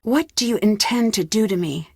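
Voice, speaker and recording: A woman's voice: mid-range voice, moderate pace, vocal fry, a little mellow, slightly rough, no echo, studio quality. A woman voicing an earnest, alarmed young detective held captive in a video game. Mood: alarmed, pleading.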